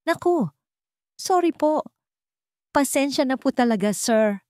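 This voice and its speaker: Woman acting a frightened, pleading young waitress, higher-pitched. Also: expressive, takes it slowly, darkish tone, no room sound, studio quality. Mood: frightened, apologetic. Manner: stammering, apologetic, polite.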